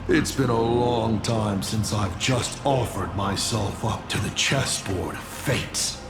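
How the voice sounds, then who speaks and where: Casting: cunning, amused game villain lord; gravelly, hugely animated swings of pitch, grandiose and teasing. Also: male voice. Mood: gleeful, grandiose. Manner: theatrical, teasing, deliberate. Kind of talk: dramatic video game voice acting. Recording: echoing voice with music underneath. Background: music.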